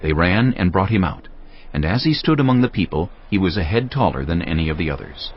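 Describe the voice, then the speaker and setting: Very deep, husky male scripture narrator. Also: very animated, a little fast. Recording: slightly muffled studio sound.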